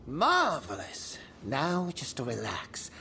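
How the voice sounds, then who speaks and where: An actor playing an eccentric inventor in a video game, theatrical and exclamatory, swinging between fretting and delight. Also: male voice, mid-range voice, huge pitch swings, slow pace, vocal fry, bright, forceful tone, slight background noise, heavily acted. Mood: eager, instructing. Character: excitable, eccentric male tinkerer and inventor. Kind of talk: video game cutscene acting.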